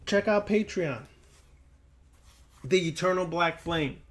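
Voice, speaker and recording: Man, high voice, slow deliberate pace, sermon-like lecture, emphatic repetition, admonishing. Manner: emphatic, repeats words and phrases; preachy, admonishing, addresses listener directly. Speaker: occult lecturer and teacher. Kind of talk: impromptu sermon-like lecture to camera.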